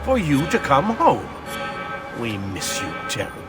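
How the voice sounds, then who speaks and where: A man's voice: medium pitch, huge pitch swings, unhurried. An actor voicing a smug, condescending male villain scientist in a game cutscene. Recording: loud music behind.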